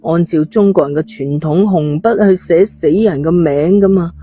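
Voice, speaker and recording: A woman's voice: lowish voice, moderate pace, warm tone. A woman, narrating a scripted thriller story. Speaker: audiobook narrator.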